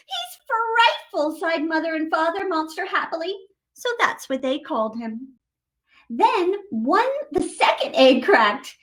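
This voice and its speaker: A woman with a high, lively voice reads a children's picture book aloud. She takes her time, swings expressively through the sentences and adds chatty asides for young listeners. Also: low-quality recording. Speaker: storytime host reading to children.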